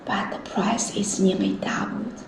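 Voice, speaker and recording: A woman's voice: unhurried, whispered. A woman presenting a casual product first look as a video host. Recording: roomy echo, clear background noise.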